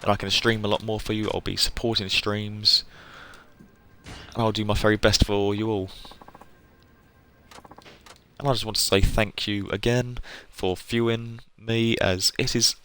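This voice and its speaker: A man giving casual live game commentary to viewers, chatty and effusive, often pausing to search for words. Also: on the low side, fairly even intonation, sharp tone, slight background noise. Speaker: game streamer.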